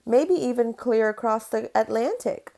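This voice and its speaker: A woman's voice: on the high side, expressive, unhurried, creaky, warm tone, pitched above the performer's own voice. A woman voicing an adventurous, imaginative young boy in a children's storybook, with earnest, simple delivery. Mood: thoughtful.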